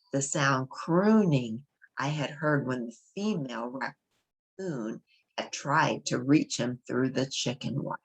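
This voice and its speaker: A woman's voice: rumbling low, breathy edge, low-quality recording. A woman reading a children's novel aloud as a storybook narrator. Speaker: storybook reader.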